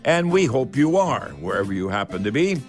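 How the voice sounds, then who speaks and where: An older Canadian man hosts a call-in radio show on home improvement. He speaks in a casual, folksy manner, rambling along and pitching like a salesman into a studio microphone. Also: big pitch swings, brisk, soft background music.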